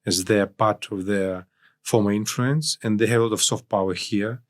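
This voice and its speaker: A man with a Ukrainian accent, a native Russian speaker, giving political commentary as an interview guest, haltingly searching for words and repeating phrases. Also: on the low side, fairly even intonation.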